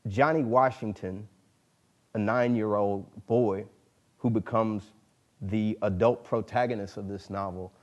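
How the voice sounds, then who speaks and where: A man giving a formal literary reading, speaking in deliberate, oratorical phrases with emphasis on each one. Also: lowish voice, steady tone, lo-fi sound. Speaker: dramatic reader. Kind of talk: formal literary introduction and narration.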